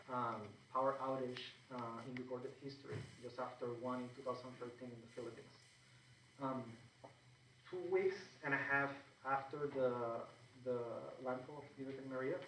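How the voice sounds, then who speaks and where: A man with a Puerto Rican accent speaks to a packed event room, pausing often between phrases. He sounds far from the mic, and the hall echoes around him. A faint noise sits underneath. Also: mid-range voice. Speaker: panel moderator. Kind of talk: public talk to an audience. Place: large event room with an audience. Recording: echoey room recorded from afar.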